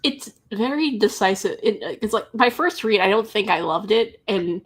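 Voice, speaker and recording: A woman's voice: medium pitch, expressive, normal speed, slightly rough. A woman talking casually as she shares opinions in a book discussion. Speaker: guest or co-host in book discussion.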